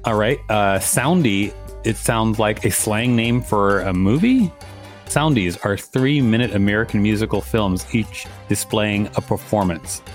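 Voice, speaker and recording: Lower-voiced man co-hosting, reading aloud. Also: measured pace, soft background music. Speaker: podcast co-host. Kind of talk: reading an encyclopedia article aloud, with casual banter.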